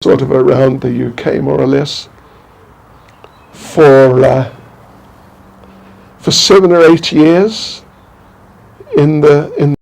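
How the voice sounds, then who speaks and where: An older man from New Zealand giving an informal spiritual talk, halting and rambling, with many restarts and small chuckles. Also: a little high, gravelly, a little mellow. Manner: chuckles to himself. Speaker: spiritual teacher.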